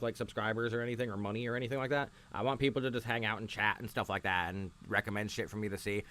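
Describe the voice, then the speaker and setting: A man's voice: on the low side, fairly bright tone. A man hosting a livestream, giving casual, rambling reaction commentary in a blunt, sarcastic, sweary manner. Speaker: livestream host.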